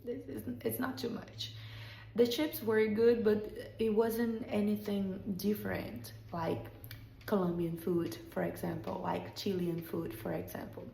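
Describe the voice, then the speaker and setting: Brazilian woman teaching english real slow, short simple sentences, kinda gravelly voice. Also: medium pitch, level tone, warm tone, roomy sound, rough recording. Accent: Brazilian Portuguese. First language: Portuguese. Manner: slow, deliberate phrasing with short repeated sentences. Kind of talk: slow spoken English lesson podcast.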